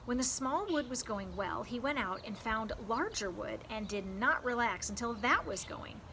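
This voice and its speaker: A woman with a fairly high voice reads aloud like an audiobook host. Her delivery is deliberate and emphatic, shaped for dramatic storytelling. There is faint noise on the amateur recording. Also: lively intonation, pushed and bright. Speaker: read-aloud host for a youth scouting program. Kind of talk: expressive audiobook-style read-aloud narration.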